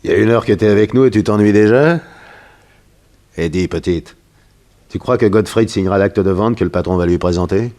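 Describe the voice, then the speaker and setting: A man's voice: fairly low pitch, a little fast, long pauses, a little mellow, natural voice. A man playing a gullible, teasing gangster henchman in a 1960s French radio crime serial, slangy and casually threatening.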